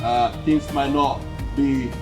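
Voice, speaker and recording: A man's voice: mid-range voice, fairly even intonation, slow pace, recorded from a distance. A man hosting a community gathering, speaking hesitantly with many fillers. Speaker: event host. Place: community hall event. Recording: loud music behind.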